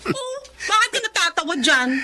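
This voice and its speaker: An actress playing a proud, feisty online seller, animated and defensive in radio drama dialogue. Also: female voice, very high-pitched, normal speed, full character voice. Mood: indignant. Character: proud, feisty struggling online-selling woman.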